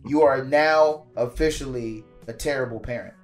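Raspy-voiced podcast co-host with a cough. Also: male voice, mid-range voice, soft background music. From DC area. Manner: quick, laughing rants. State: hoarse from a cough. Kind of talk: casual profane podcast banter.

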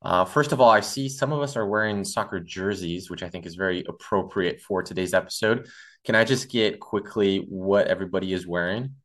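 A young man from Brazil hosts a student podcast in a somewhat low, upbeat voice. He mixes a scripted opening with casual, filler-laden chat. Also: brisk, fairly bright tone. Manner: upbeat, with many "awesome"s and uh fillers. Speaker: podcast host.

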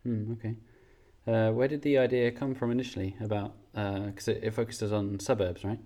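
A man's voice: fairly low pitch, flat delivery, a little fast, dark tone. A man hosting a podcast, reading a scripted intro and then chatting with a guest, often restarting his questions. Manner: hesitant, many restarts when interviewing. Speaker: podcast host. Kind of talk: scripted intro and casual interview.

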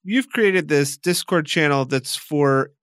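A man's voice: a little high, slow pace, a bit bright, no echo, studio quality. A man hosting a documentary podcast, narrating and interviewing in a casual, enthusiastic, conversational way.

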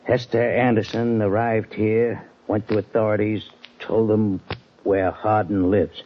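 A man's voice: on the low side, level tone, measured pace. An actor playing a ruthless male saloon-owner villain in a 1940s radio western, talking in a snarling, bossy way. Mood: scheming. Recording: dull old radio transcription with hiss.

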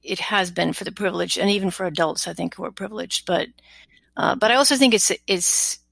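A woman's voice: mid-range voice, a little fast, vocal fry, a bit bright, no room sound. A woman interviewing a guest in a conversational way, feeling out her questions as she thinks aloud. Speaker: podcast interviewer.

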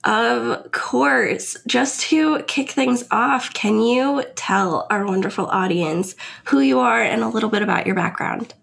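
A woman's voice: fairly high pitch, measured pace, vocal fry, few pauses, a bit bright. A young woman hosting an interview podcast, gushing and effusive with her guest. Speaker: podcast host.

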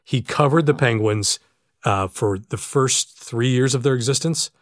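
American guy in his 50s telling stories on a podcast, stammery starts, self-deprecating, average pitch, dry sound. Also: a little slow, no room sound. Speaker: podcast guest, sports arena announcer. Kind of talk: casual anecdotal interview chat. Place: in a room with the hosts.

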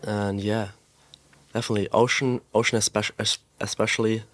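A young man from Austria, a guest in a podcast interview, talking in a relaxed way with lots of "like" and "um" and an easy laugh. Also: on the low side, no room sound. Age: young adult. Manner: relaxed, fills pauses with "like" and "um", laughs easily. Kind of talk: casual interview chat. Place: pop-up store.

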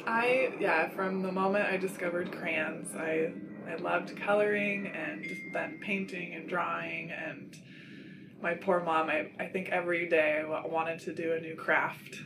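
A woman guest recounting her creative childhood in a friendly, relaxed way, recorded outdoors on a patio. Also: on the low side, measured pace, noisy background. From Seattle area. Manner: friendly, relaxed storytelling with small laughs. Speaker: artist and florist guest. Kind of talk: casual interview.

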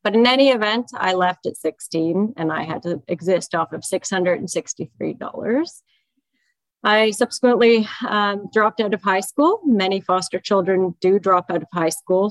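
Woman, 30s, interview guest, candid storyteller, lowish voice. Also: normal speed, no echo, rough recording. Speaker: podcast guest, child advocate. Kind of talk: interview answers.

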